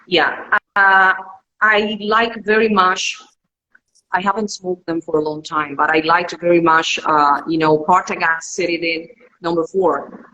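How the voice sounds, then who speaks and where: Woman, English, sharp bright tone, restarts and repeats. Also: lowish voice, steady tone, highs cut off. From Cuba. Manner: halting, searches for words, repeats herself. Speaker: guest, cigar connoisseur. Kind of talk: casual live interview.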